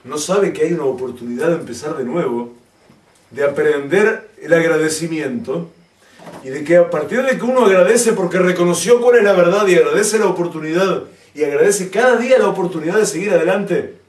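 A man's voice: high voice, recorded from a distance. A man who is a rabbi gives a Torah lesson to a community, speaking emphatically, using rhetorical questions and wry asides. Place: community hall. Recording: roomy echo, from away from the mic.

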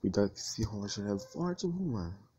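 A man's voice: lowish voice, sing-song, normal speed, warm tone. A Brazilian man giving casual gameplay commentary in short, slangy remarks as he plays. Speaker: gamer commentating own match. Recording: muffled lo-fi mic with clear noise.

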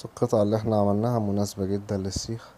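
A man with an Egyptian Arabic accent, hoarse from a cold, casually talking viewers through a recipe step by step in his home kitchen. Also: fairly low pitch, little pitch movement, racing, warm tone, a little hiss or hum. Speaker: home cooking channel host. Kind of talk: cooking tutorial voice-over.

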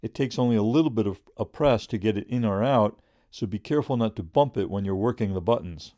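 A middle-aged man reading a scripted product review in a measured, informative way. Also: on the low side, warm tone, dry recording, clean studio sound.

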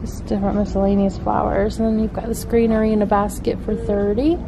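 A woman's voice: medium pitch, little pitch movement, fairly quick, vocal fry, background noise. A middle-aged woman filming a shop-with-me vlog in a home decor store, chatting about prices and cute items on the shelves while she holds the camera. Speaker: vlogger. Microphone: handheld camera. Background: background music.

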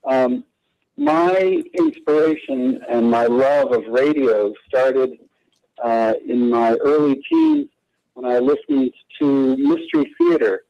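A man calling in on a dull, muffled phone line, polite and eager. His voice is gravelly and mellow, rising and falling a lot. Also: mid-range voice, big pitch swings, takes it slowly. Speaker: caller. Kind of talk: call-in question. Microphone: telephone.

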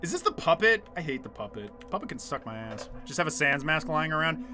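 A young man livestreaming a horror game, chatting to viewers with jokey, excitable, crude swearing. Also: a little high, fairly quick. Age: young adult. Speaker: game streamer. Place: small dim room indoors at home. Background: horror game music.

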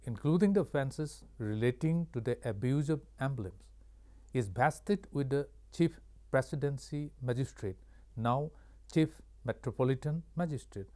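Mellow-voiced older Indian man lecturing. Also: mid-range voice. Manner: formal, measured, halting phrasing. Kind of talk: scripted educational lecture. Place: studio with curtain backdrop. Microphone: lapel mic.

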